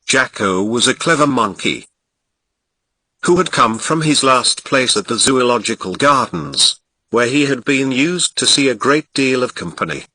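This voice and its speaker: A man's voice: mid-range voice, expressive, unhurried, sharp tone, dry recording, poor audio. A man with a Ghanaian accent reading a nursery fairy tale aloud, lingering expressively on descriptive words. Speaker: narrator. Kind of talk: storybook reading.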